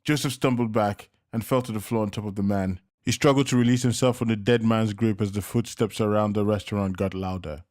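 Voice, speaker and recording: Low-voiced man narrating a thriller. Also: steady tone, a little fast, hardly any pauses, a little mellow, dry recording, studio quality. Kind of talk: scripted audiobook narration.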